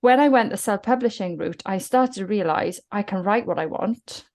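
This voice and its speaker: A woman's voice: mid-range voice, normal speed, a little mellow. A woman from South Wales with a Welsh accent, a guest talking reflectively in a podcast interview. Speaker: guest author.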